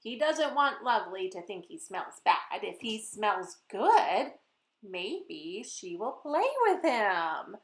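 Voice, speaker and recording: A woman reading a picture book aloud to children in an expressive way, speaking to her young listeners directly. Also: mid-range voice, slow pace. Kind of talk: animated children's story read-aloud. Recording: roomy echo.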